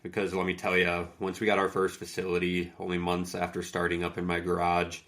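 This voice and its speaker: A young man talks through a solo podcast episode in a casual, earnest way, leaning on "like" as he goes. His voice is deep and gravelly, with little rise and fall. He is still getting over a cold. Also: monotone, a little fast, fairly bright tone. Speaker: podcast host and fitness coach.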